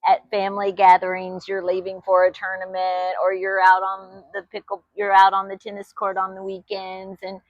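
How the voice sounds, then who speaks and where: Texan woman in her fifties, co-host, chatty and quick to laugh; dry, slightly muffled recording. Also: mid-range voice, completely flat delivery, no echo. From Texas. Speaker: channel co-host. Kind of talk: casual chat.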